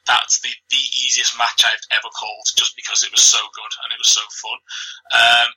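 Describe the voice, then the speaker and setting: Man, Liverpool accent, husky, monotone, hesitant, lots of ums. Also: medium pitch, brisk, rough recording. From Liverpool, England. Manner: hesitant, many ums and restarts. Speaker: wrestling commentator, guest. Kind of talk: casual podcast interview.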